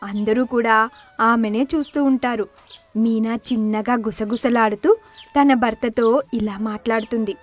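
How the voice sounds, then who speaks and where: A woman's voice: fairly high pitch, fairly even intonation, a little slow. A woman narrator reading a scripted moral story.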